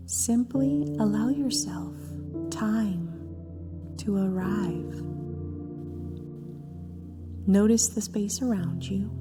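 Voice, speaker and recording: A woman leading a scripted guided meditation in a gentle, soft voice with breathy phrase endings and long pauses between short phrases. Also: mid-range voice. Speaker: therapist guiding a meditation. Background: background music.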